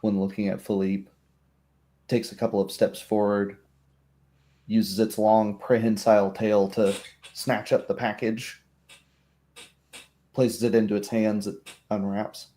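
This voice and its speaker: A man's voice: lowish voice, flat delivery, a little slow, long pauses, warm tone. A man narrating a tabletop roleplaying game as game master, with vivid, descriptive storytelling.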